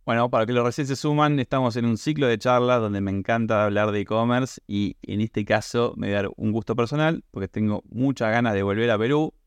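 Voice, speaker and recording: A man's voice: mid-range voice, steady tone, a little fast, few pauses, clear, resonant tone, no echo. A man with an Argentine Spanish accent hosts a podcast interview, talking informally in chatty, roundabout phrasing.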